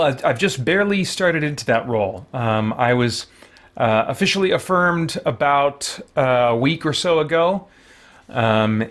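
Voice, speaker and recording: A man hosting a livestream in a casual, rambling, thoughtful way, often pausing mid-sentence. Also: mid-range voice, fairly bright tone. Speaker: livestream host.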